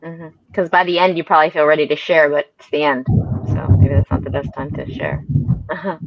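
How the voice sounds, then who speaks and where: A woman's voice: low voice, fairly even intonation, a little fast, muffled recording, noisy background. A woman hosting a conversational podcast interview, affirming her guests with frequent murmurs of agreement. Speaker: podcast host.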